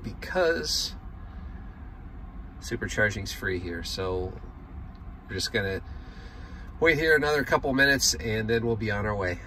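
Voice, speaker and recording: Man, forties, low voice, casual car vlog, phone at arm's length, faint background noise. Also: sharp tone. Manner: chatty, plain-spoken, many ums and uhs. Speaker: road trip vlogger. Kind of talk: casual vlog talking to camera. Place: inside a parked car at a charging station. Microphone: phone camera at arm's length.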